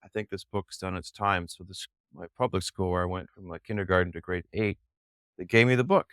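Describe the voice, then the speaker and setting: A man's voice: sits low, steady tone, brisk, fairly bright tone, no room sound, clean studio sound. A middle-aged man, a podcast guest, chatting casually and telling rambling, enthusiastic stories. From Canada. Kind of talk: casual chat.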